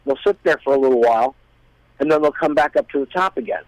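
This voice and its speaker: A man chatting casually as a guest, explaining things in a rambling, halting way. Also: fairly high pitch, a little mellow, no room sound, low-quality recording. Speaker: guest, musician. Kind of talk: casual chat.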